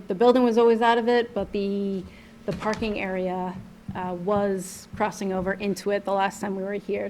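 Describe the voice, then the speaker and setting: A woman engineer presenting revised site plans to a town board, loud and full of fillers. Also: medium pitch, slight background noise. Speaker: project engineer. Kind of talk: public presentation. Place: town meeting room.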